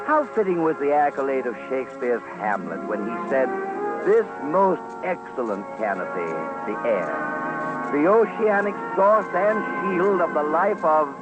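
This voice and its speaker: A man narrates a vintage radio documentary in a fairly high voice with very lively, swooping intonation. His delivery is grand, theatrical and measured, set against loud music. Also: muffled recording. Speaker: commentator and announcer of a radio documentary. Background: loud background music.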